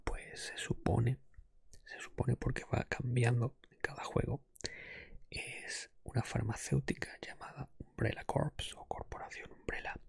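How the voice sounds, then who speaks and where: A man whispers softly into a close microphone in an ASMR podcast style, sometimes slipping into a gentle spoken tone. His voice is very breathy with some creak, and the sound is dry and intimate. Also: no echo. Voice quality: breathy whisper with soft low voiced stretches. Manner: hushed, careful not to raise the voice. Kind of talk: ASMR, whispering mixed with soft-spoken talk.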